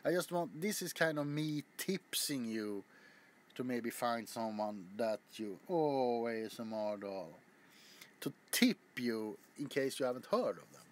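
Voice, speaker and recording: A man's voice: medium pitch, expressive, measured pace. A man chatting casually to his viewers as a video host, rambling with frequent stammers, restarts and casual swearing. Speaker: ASMR YouTuber.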